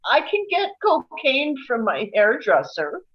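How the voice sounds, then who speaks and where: A woman's voice: high voice, huge pitch swings, a little slow, dull audio, full character voice. A woman playing a brash, harried, crude suburban mother in a comedy script read, blunt and frazzled. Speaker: actor in an online script table read. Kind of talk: live comedy screenplay table read.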